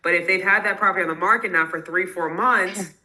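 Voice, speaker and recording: A woman answering callers' real estate questions in a live call, casual and quick with many fillers. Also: fairly low pitch, pushed and bright, poor audio.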